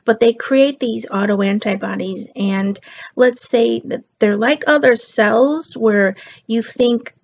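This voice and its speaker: Vlogger woman, middle-aged, worn out and a bit raspy, rambly chat, dull lo-fi webcam sound. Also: fairly high pitch, slow pace. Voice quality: slightly raspy. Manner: rambling and chatty, drifts between topics. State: tired. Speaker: beauty and lifestyle vlogger. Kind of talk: casual chat to camera. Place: living room couch, indoors. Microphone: built-in webcam mic.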